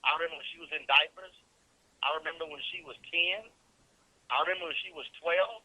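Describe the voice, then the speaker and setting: Sharp, forceful male radio host pressing hard. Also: fairly high pitch, brisk, breathy edge, no room sound. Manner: pressing, incredulous, repeats points back emphatically. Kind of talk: probing call-in interview. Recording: noisy radio call-in line.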